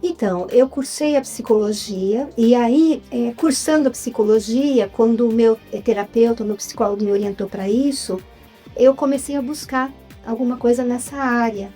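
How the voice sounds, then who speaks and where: A middle-aged woman from Jundiaí with a São Paulo state accent, telling her story as a guest in a chatty, relaxed interview. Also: on the high side, normal speed, husky, soft background music. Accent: Brazilian Portuguese, São Paulo state. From Jundiaí, Brazil. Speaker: guest, writer and actress. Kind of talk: casual interview.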